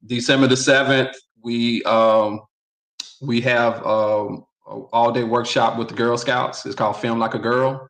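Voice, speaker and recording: A man from Mississippi, a guest author and filmmaker, giving steady, matter-of-fact updates in casual chat. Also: medium pitch, unhurried, poor audio.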